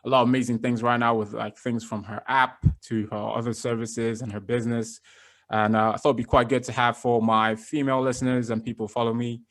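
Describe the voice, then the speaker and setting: A young man hosting a podcast as a fitness coach, chatting in a relaxed, loose way with lots of fillers and restarts. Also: medium pitch, brisk. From based in London. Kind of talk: casual interview chat.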